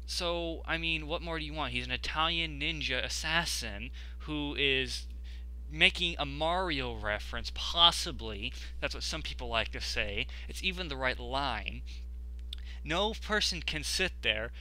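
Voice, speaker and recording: A man's voice: on the high side, a little slow, bright, forceful tone, poor audio. A young man giving casual, rambling video commentary as an enthusiastic gaming fan. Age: young adult.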